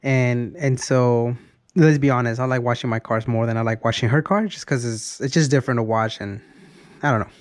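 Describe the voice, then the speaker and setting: A man's voice: mid-range voice, a little fast, no room sound, clean studio sound. A man in his early thirties talking in a casual, rambling vlog style, often repeating and restarting his phrases. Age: early 30s. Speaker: car vlogger.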